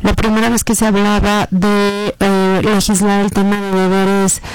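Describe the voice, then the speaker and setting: A woman's voice: medium pitch, completely flat delivery, unhurried, smooth, no room sound, rough recording. Reflective Mexican woman in her fifties. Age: 50s. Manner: earnest, reflective, many fillers and restarts. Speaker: guest, lawyer and public servant. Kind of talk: casual radio interview. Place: radio booth.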